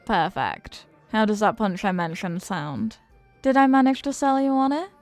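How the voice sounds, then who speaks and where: A woman's voice: medium pitch, expressive, normal speed, darkish tone, faint music behind, lightly acted. A woman playing a flirty, confident, playful stranger in an intimate roleplay, with a teasing, coy, sweet-talking manner. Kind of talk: intimate roleplay audio acting.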